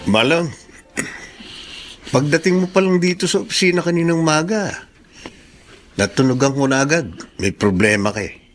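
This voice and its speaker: Radio drama best friend, Filipino man, higher-voiced, lively, frank and knowing male actor. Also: normal speed, long pauses, faint music behind, close to an everyday voice. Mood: knowing, advising. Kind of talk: Tagalog radio drama acting.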